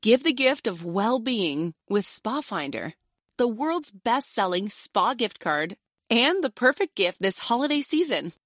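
An American woman announcer reads a scripted ad. Her voice sits fairly high and moves with a very lively melody, recorded dry and close. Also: measured pace, no room sound, faint music behind. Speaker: advertisement announcer. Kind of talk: scripted ad read.

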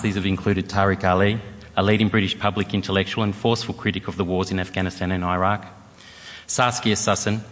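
A man giving a formal introduction of a guest lecturer, reading from notes. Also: sits low. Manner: formal, read-from-notes delivery. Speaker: event chair introducing the speaker. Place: lecture hall. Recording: reverberant lecture hall.

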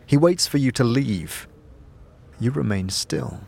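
A man's voice: medium pitch, expressive, slow pace, creaky, darkish tone, slight background noise. A man narrating a story in the second person in a dramatic audio drama style, with music behind him.

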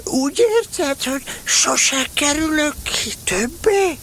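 A woman playing an anxious, slow-witted, sulky male toy bear in a children's radio play, with a creaky, breathy voice. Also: high-pitched, animated, a bit bright. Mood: anxious, offended.

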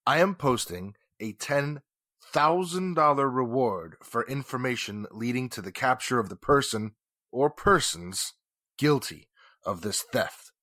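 A man playing a formal, indignant businessman and bitter ex-husband in 1940s radio drama style, with deliberate, emphatic phrasing. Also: mid-range voice, animated, pushed and bright, no room sound. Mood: stiff, formal.

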